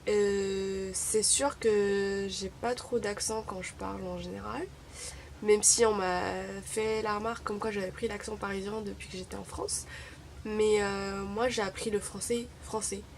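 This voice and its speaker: Young woman from morocco, arabic first language, dry wry vlog chat, bit of vocal fry, faint hiss behind. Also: medium pitch, darkish tone. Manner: wry, chatty, full of hesitations. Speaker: vlogger. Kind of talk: casual chat to camera.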